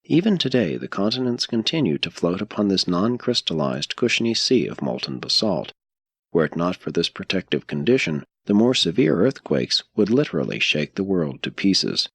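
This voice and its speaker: A man reading scripted audiobook narration in a measured, solemn and formal manner. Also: deep voice, big pitch swings, fairly bright tone, slightly rough, dry recording.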